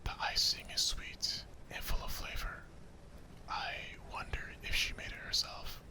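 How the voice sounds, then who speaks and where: A man whispering the narration of a visual novel in a hushed ASMR style. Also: a little slow, low-quality recording.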